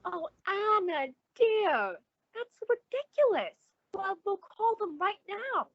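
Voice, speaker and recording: Radio suspense role, for an actress: uneasy society lady, theatrical and polite. Lively high female voice, slow delivery, muffled dry sound. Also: no room sound, full character voice. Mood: concerned. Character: genteel, sociable woman, uneasy concerned friend of the spinster. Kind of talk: old-time radio suspense acting.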